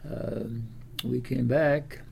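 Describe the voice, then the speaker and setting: A man of ninety with an American Midwestern accent, reminiscing in a halting, rambling way with repeated words. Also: medium pitch, steady tone, gravelly, darkish tone, a little hiss or hum. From Des Moines, Iowa, United States. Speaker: interviewee, World War Two veteran. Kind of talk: oral history reminiscence.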